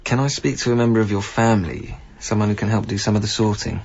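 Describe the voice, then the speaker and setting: Low-voiced, earnest young official. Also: male voice, fairly even intonation, quick pace, gravelly, darkish tone, highs cut off. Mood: patient, coaxing. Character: earnest, patient, conscientious young council clearance officer. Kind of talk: naturalistic radio drama acting.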